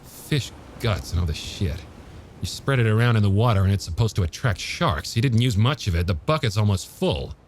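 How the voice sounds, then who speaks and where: A man voicing a steady, grimly practical male police chief in an audiobook, blunt and low-key. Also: moderate pace, a little hiss or hum, close to an everyday voice. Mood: matter-of-fact.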